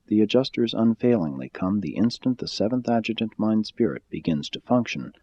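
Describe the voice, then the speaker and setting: A man with a low, mellow voice reads formal spiritual prose like an audiobook narrator. His delivery is measured and solemn, with a slightly husky grain. The recording sounds a little dull. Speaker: audiobook-style reader. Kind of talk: formal scripted reading of a spiritual text.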